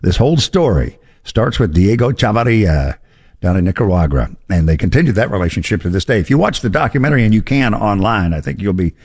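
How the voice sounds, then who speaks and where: A man hosting a radio show, giving a relaxed and upbeat sponsor read. His voice is fairly low but swings around a lot in pitch, so it sounds chatty and animated. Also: breathy edge. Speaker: radio host reading an ad.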